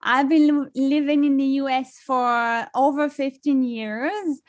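A woman's voice: high voice, steady tone, measured pace, no room sound. A middle-aged woman with a French accent, chatting as a songwriter guest in an interview, with many fillers and restarts. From France. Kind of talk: casual interview chat.

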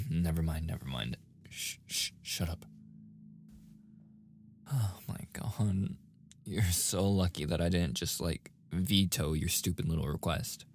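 A man playing a flustered, submissive young boyfriend in a soft-spoken ASMR roleplay, stammering and sighing, often slipping into whispers. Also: fairly low pitch. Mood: nervous, reluctant, then embarrassed. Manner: stammering, sighing, breathy asides, protesting. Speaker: audio roleplay voice performer.

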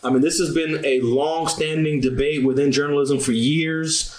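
A man's voice: on the high side, steady tone, normal speed, a little mellow. Guy from new york, guest on a podcast, lays out the facts patiently, roomy echo. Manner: explains at length with dates and facts. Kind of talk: casual podcast interview.

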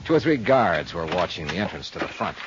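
An actor playing a world-weary, hard-boiled investigator, terse and wry, in 1950s radio noir. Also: male voice, low-pitched, very animated, brisk, background noise. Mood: brooding, wry. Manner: terse, dry, wry tough-guy delivery. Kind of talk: 1950s radio noir acting and first-person narration. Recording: muffled 1950s radio recording with music.

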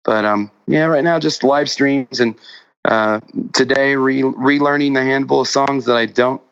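A man chatting casually as a musician guest in an interview, with a halting, rambling way of talking that often restarts mid-thought. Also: mid-range voice, steady tone, a bit bright, clean tone, dull audio. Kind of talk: casual remote interview chat.

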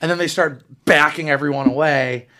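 A man's voice: on the high side, steady tone, fairly quick, bright, forceful tone. A man co-hosting a podcast, chatting casually about sports in an emphatic, profane way. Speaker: podcast co-host.

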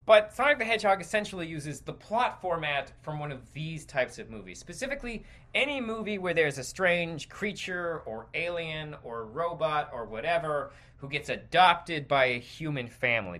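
A man hosting a podcast, delivering a rambling, sardonic and exasperated opinion rant with halting, emphatic phrasing. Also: high voice, sharp tone. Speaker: podcast host.

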